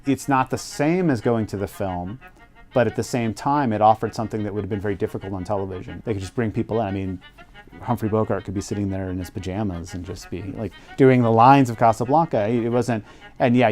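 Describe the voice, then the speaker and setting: Lowish-voiced man, informal interview. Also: fast talker, darkish tone, faint music behind. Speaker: documentary interviewee. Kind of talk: casual explanatory interview.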